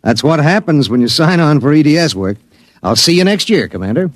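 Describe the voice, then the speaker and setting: A man's voice: fairly high pitch, expressive, brisk, close to an everyday voice. An actor playing a dutiful, wry spaceship pilot who narrates his grim story in a vintage radio drama, with music behind. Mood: wry, casual. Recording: old-time radio recording with music behind.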